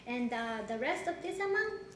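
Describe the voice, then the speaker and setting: A woman from the Philippines with a Filipino accent, whose first language is Tagalog, giving halting courtroom testimony with many restarts. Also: high voice, sing-song, a bit bright. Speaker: witness in a divorce hearing. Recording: echoey courtroom, background noise.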